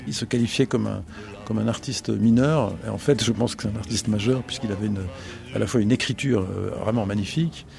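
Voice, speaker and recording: A middle-aged man talking on the radio about songs he loves, thoughtful and pausing often to find his words. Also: lowish voice, gravelly. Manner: thoughtful, searching for words, many pauses and restarts. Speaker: radio guest, broadcasting executive. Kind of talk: reflective radio talk about favorite songs.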